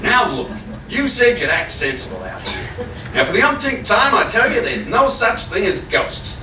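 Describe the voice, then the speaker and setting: An actor playing an irritable, nervous husband in an old-time radio comedy, blustering and exasperated, recorded live on stage. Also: male voice, high-pitched, wildly swooping pitch, normal speed, sharp tone, heavily acted. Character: irritable nervous husband trying to sell haunted house. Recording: roomy live stage, distant mic, hiss.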